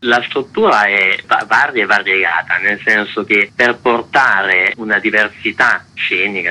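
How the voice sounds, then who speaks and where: A man organizing a festival, answering radio interview questions in a measured way with restarts and fillers. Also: lowish voice, bright, forceful tone, poor audio. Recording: radio broadcast.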